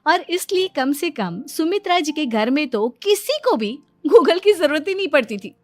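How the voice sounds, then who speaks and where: A woman's voice: high-pitched, sing-song, normal speed, a little mellow. A woman reading scripted story narration as the narrator.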